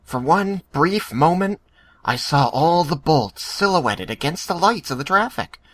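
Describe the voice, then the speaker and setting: Man as wry nostalgic narrator, mock-dramatic, slow and bright, amateur comedic table read. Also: fairly high pitch, lively intonation. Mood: wry nostalgia. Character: wry grown man narrating his boyhood memories.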